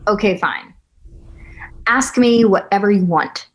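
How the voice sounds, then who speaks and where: A woman's voice: medium pitch, measured pace, close to an everyday voice, rough recording. A woman playing a blunt, teasing, eager young girlfriend with a brash manner in a screenplay table read. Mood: hopeful, persuasive. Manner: brash, foul-mouthed banter.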